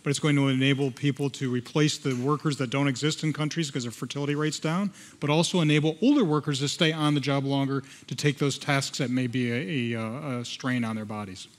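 Fast-talking wry man panelist. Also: medium pitch, fairly even intonation. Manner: quick, wry. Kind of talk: panel discussion answer. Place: conference hall stage. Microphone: headset mic.

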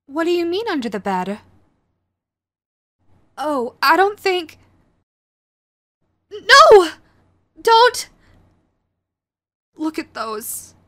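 A woman's voice: very high-pitched, sing-song, drawn-out words, pauses often, a bit bright, heavily acted. A woman playing a young, sweet, clingy yandere girlfriend in an intimate audio roleplay, with a cutesy, hesitant manner. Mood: panicked. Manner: cutesy, hesitant, gushing pet names. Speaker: voice actress.

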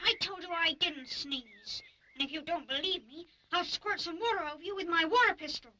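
A woman voicing a playful, timid little toy in a children's puppet adventure, childish and quick to protest. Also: extremely high pitch, bright, forceful tone, a little hiss or hum, low-quality recording. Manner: childish, protesting, stammers when scared.

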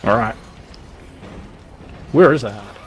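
A man's voice: medium pitch, slow, slight background noise. A man talking casually as he plays a co-op video game with a teammate. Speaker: gamer in a co-op session. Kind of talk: casual gameplay chat.

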